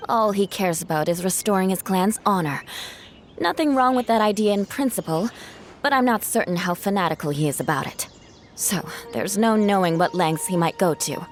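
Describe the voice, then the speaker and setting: Brief: actress as a poised, dryly proud young knight in a game, expressive lilt, faint score. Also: female voice, mid-range voice, normal speed, soft background music, lightly acted. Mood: composed. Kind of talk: game voice acting.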